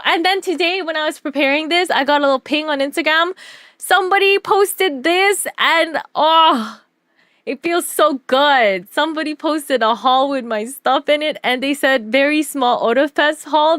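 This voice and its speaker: A woman streamer telling stories casually over a slideshow, animated, giggly and slangy in her delivery. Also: a little high, no echo, clean studio sound. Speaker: streamer and artist.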